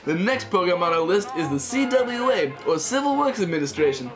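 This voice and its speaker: Young man playing a high-voiced jovial radio co-host. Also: very animated, a little fast. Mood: jovial, flippant. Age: teens. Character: jovial, flippant 1930s radio co-host named Willie. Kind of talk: mock 1930s radio program, scripted. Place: small wood-panelled room indoors. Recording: music playing over the voice.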